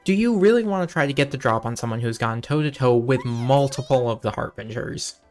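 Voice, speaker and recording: A woman's voice: rumbling low, expressive, brisk, a little mellow, faint music behind. A woman giving casual, dry and offhand commentary as she streams a video game.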